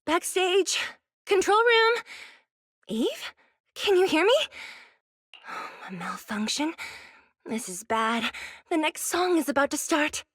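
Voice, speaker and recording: A spirited young pop idol from an anime game, high and very animated. She is dreamy yet determined and expressive, closely recorded with music underneath. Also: slow pace, long pauses, dry recording, studio quality, full character voice. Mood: worried. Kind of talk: anime-style game voice acting.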